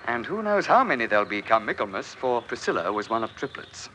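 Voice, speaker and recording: An actor playing a merry, lovestruck young English gentleman in an old-time radio drama, speaking in theatrical archaic phrases. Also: medium pitch, very animated, a little fast, lightly acted. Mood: smitten, content. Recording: faintly noisy old radio recording.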